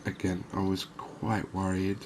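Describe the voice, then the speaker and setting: A man giving calm, unhurried ASMR-style commentary on a fishing game, explaining things as he plays. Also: low voice, background noise. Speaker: gaming video host.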